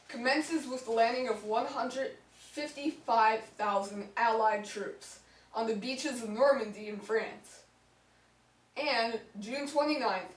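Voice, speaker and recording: A woman's voice: fairly high pitch, far from the mic. A young woman anchoring an amateur mock newscast with a mock-earnest delivery, reading from a script. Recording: echoey room, off the mic.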